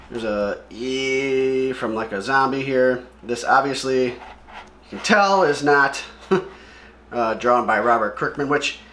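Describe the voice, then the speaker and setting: A man hosts a comic read-along in an echoey room, describing things in a casual, offhand way. His pitch is middling, and he comments freely with his own opinions. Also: fairly bright tone.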